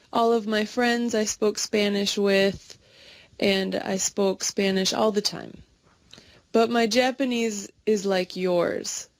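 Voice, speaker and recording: Woman, medium pitch, slow clear delivery, friendly, dry close recording. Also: no room sound. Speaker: English speaker in a practice dialogue. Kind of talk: slow scripted conversation practice.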